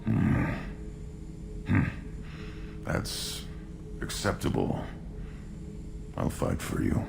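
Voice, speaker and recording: A man's voice: huge pitch swings, very slow, background noise, exaggerated voice. A man voicing a hulking young alien super soldier in a video game, blunt, proud and menacing in a terse growl. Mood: grudging respect. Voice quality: deep gravelly growl. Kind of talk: video game character acting.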